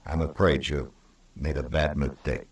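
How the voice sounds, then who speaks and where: Deep-voiced, measured Old West marshal. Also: male voice, fairly even intonation. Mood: grave. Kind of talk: old-time radio drama acting. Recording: roomy old radio recording, distant from mic.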